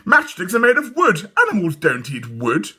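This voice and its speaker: Man acting a little girl, squealing high pitch, swooping intonation, gushing, disdainful, storybook character. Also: huge pitch swings, moderate pace, sharp tone, breathy edge, heavily acted. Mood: mocking. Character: prissy, squealing little girl with a toy pony.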